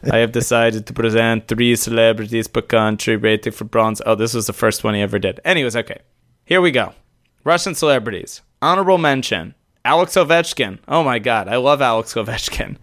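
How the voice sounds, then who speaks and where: A man's voice: medium pitch, fairly quick, fairly bright tone. A man co-hosting a casual podcast who rambles through lists, laughs often and swears now and then. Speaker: podcast co-host.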